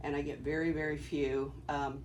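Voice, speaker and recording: Mid-pitched voice, halting, explanatory, informal equipment demo, faint background noise. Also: level tone, a little mellow, rough recording. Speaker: presenter demonstrating equipment. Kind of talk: informal technical demonstration. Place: small cluttered office workroom, indoors.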